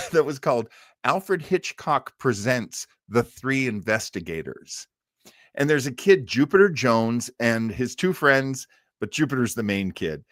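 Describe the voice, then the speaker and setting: A man's voice: medium pitch, fairly bright tone, no room sound, clean studio sound. A man in his fifties hosting a livestream with casual, enthusiastic, rambling commentary. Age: 50s. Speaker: livestream host.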